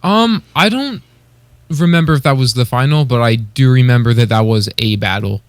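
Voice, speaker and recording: A man's voice: medium pitch, normal speed, smooth, no echo. A man co-hosting a podcast, chatting casually in a tentative, hedging way. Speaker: podcast co-host. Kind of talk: casual chat about beatbox competitors.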